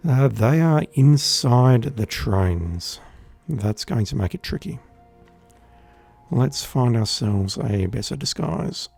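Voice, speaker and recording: An Australian man giving relaxed, chatty commentary as he plays a game on stream, with a wry humor. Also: mid-range voice, slow, gravelly, breathy edge, faint music behind. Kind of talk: casual gameplay commentary.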